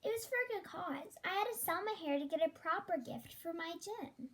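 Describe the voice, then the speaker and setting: A woman's voice: very high-pitched, lively intonation, brisk, fairly bright tone, a little hiss or hum. A girl of about ten playing an earnest, determined young married woman in a school radio play. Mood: defensive. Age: child of about ten.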